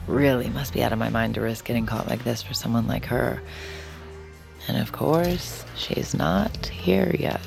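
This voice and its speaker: A woman's voice: rumbling low, measured pace, creaky, over music, exaggerated voice. An actress playing a wary, lovesick young woman in an intimate audio drama, with a dry, sardonic and guarded manner. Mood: wry, bitter. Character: wary, lovesick young woman with secret mind-reading power. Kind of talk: intimate audio drama acting with inner narration.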